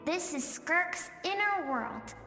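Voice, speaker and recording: A woman playing a gentle, knowing inner spirit in a video game, speaking in a measured, cryptic, lightly playful way. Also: extremely high pitch, animated, heavily acted. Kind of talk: scripted video game dialogue. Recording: long cavernous reverb, game music behind.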